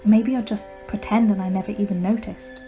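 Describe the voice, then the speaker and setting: A woman performing a radio-drama monologue as a wounded, sinister house-plant owner, speaking in a hushed, halting, confiding way. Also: medium pitch, steady tone, over music, close to an everyday voice. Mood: bitter, defensive.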